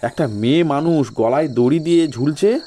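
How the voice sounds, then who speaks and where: A man playing a sly local gentleman in an audio story, giving ominous ghost warnings with feigned reluctance. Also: fairly high pitch, normal speed, a little hiss or hum, lightly acted.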